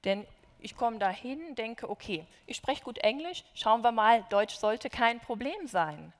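A woman's voice: fairly high pitch, animated, measured pace. Candid young woman in a big hall. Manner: enthusiastic, candid, self-deprecating. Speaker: student translator. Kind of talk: panel conversation. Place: large university auditorium stage. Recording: long reverb in large hall.

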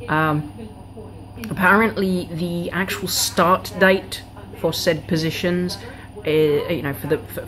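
A woman with a low-pitched voice talks casually to camera as a vlogger. She is in her thirties and rambles a little, hesitant in her phrasing. She is recorded at arm's length in a living room. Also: poor audio. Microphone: camera or webcam at arm's length.

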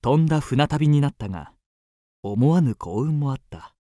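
A man playing a suave, well-bred young gentleman traveller in a radio drama, measured, polished and courteous. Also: mid-range voice, animated, dry recording, clean studio sound, close to an everyday voice. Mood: pleased. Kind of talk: radio drama narration and dialogue.

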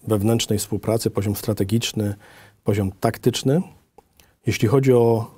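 Middle-aged man, mellow dark tone, formal presenter, methodical, dry room sound. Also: on the low side, dry recording. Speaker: design office director presenting.

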